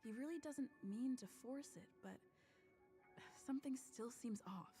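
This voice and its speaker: A woman's voice: fairly high pitch, a little slow, dark tone. A woman murmuring commentary on a game, with music behind her. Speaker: streamer reacting to game.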